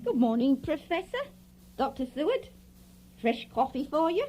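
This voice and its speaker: An actress playing a chatty, fretful, deferential housemaid in an audio drama. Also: female voice, high-pitched, big pitch swings, normal speed, dark tone, soft background music, poor audio. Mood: fussing, deferential. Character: fussy, talkative housemaid, deferential and kindly.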